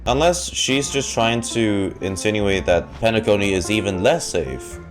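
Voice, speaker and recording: A man's voice: lowish voice, unhurried. A man giving casual reaction commentary on a game, playfully thinking aloud and sometimes swearing. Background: game music.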